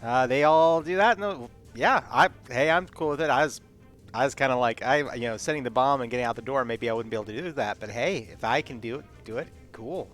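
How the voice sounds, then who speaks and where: A man's voice: mid-range voice, fairly quick, a bit bright. A man playing in a tabletop roleplaying game, talking through his moves in casual chat. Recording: music behind the voice. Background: background music.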